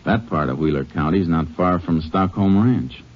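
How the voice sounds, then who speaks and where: An American actor playing a steady, methodical Texas Ranger in a 1950s western radio drama, speaking in a laconic, plainspoken way. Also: male voice, low voice, normal speed. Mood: calm, matter-of-fact. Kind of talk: 1950s radio drama acting with first-person narration. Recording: muffled vintage radio recording with music.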